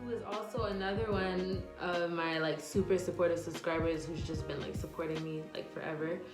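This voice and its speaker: Young woman in her 20s, lowish voice, rambling casual vlogger delivery, echoey room. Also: fairly even intonation, brisk. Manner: chatty, rambling, many "like" and "um" fillers. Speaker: nurse and vlogger. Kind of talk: casual Q&A vlog, reading viewer questions. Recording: echoey room with faint music.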